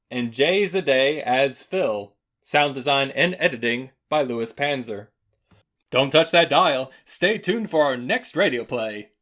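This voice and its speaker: A man's voice: medium pitch, a bit bright. Polished male radio play announcer.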